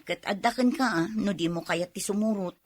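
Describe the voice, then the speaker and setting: A woman's voice: mid-range voice, a little fast, dry recording, clean studio sound, close to an everyday voice. A woman playing a weary but feisty put-upon wife and mother in a radio drama, with an Ilocano accent and a complaining, sighing manner. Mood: resigned.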